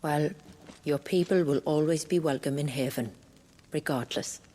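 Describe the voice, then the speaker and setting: An actress playing a calm, proud, protective warrior guardian in a fantasy game, speaking formally and deliberately. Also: female voice, low voice. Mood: guarded, dignified. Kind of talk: cinematic fantasy game acting.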